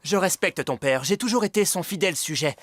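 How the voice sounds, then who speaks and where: A man voicing a devout, loyal young warrior leader in a dubbed animated Bible drama. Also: sits high, fairly quick, no echo. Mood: grave, wronged.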